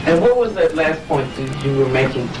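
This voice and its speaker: Male event host, slightly high voice, casual and halting, roomy sound with music behind. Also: lively intonation, recorded from a distance. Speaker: host of the talk. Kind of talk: casual moderating of a live talk.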